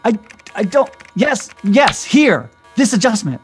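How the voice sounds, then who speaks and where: Flustered agency techie, swooping pitch, excitable, nervous, audio drama, faint music behind. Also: measured pace. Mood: panicked. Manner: flustered, jumpy.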